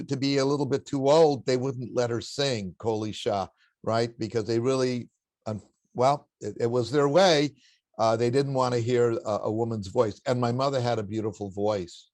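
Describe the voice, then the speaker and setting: Older baritone man telling family stories. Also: steady tone, normal speed, dry recording. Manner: warm anecdotal storytelling with chuckles. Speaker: interview guest, spiritual teacher. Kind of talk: reflective storytelling interview.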